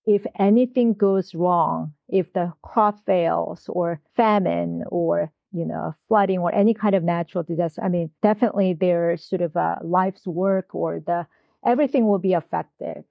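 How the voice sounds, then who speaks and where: A woman's voice: lowish voice, fairly even intonation, normal speed, creaky, dry recording, highs cut off. A woman professor answering interview questions, speaking in a hesitant way with many fillers and restarts. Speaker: guest scholar, history professor.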